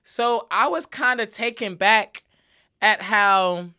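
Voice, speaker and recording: A woman's voice: medium pitch, slow pace, pushed and bright, smooth. A woman hosting her own podcast, talking casually and emphatically on her own with a lot of repeated filler tags. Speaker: podcast host. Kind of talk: casual solo podcast monologue.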